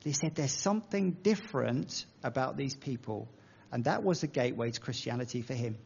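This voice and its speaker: A man's voice: medium pitch, animated, brisk, vocal fry, darkish tone, slightly rough, dull audio. A man with an English accent preaching a sermon in a conversational, self-deprecating way, using everyday analogies.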